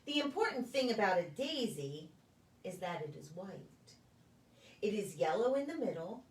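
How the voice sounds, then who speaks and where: A woman reading a children's picture book aloud in a deliberate, sing-song storytelling way for preschoolers. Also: medium pitch, big pitch swings. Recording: echoey room, distant microphone.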